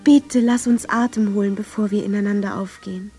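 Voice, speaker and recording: Casting: actress as lovestruck young 1910s woman reading love letters, soft dark tone, mid pitch, tender and measured. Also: steady tone, close to an everyday voice. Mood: tender, yearning. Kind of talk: dramatic reading of love letters, radio drama. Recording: clear violin music under the voice. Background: violin music.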